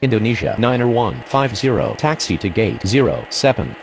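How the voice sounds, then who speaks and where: A man acts a male controller or pilot in a game. His mid-pitched voice is creaky. He gives set phraseology with noise in the background. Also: animated, moderate pace. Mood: procedural. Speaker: air traffic radio voice in a game. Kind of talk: scripted air traffic control phraseology.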